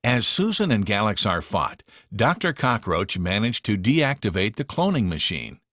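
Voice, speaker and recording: A man narrating a children's storybook in an expressive, dramatic storytelling manner. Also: lowish voice, normal speed, dry recording, studio quality.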